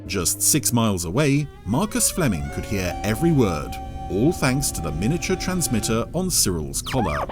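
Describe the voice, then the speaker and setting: Male narrator, scripted story delivery, highly animated intonation, middle pitch, music behind. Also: normal speed.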